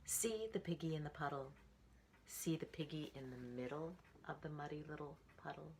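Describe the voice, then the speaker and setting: A woman in her sixties reading a picture book aloud to children in an expressive, sing-song rhythm. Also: sits low, moderate pace, slight background noise, rough recording. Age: 60s. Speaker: storytime reader.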